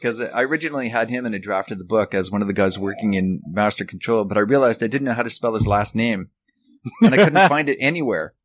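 Low-pitched man, halting explainer. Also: expressive. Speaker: guest, author and former radio technician. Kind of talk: casual explanatory chat.

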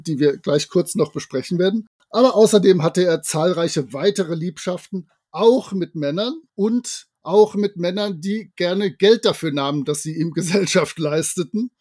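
A man's voice: on the high side, darkish tone, no room sound, studio quality. A man co-hosting a podcast, talking in a wordy, jocular way with colloquial asides. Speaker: podcast co-host. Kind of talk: chatty podcast narration.

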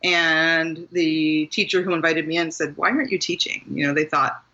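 Bright-voiced woman, lo-fi sound. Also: deep voice, steady tone, moderate pace. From Maryland, USA. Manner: rambling, laughs often. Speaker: guest author. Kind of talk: casual interview talk.

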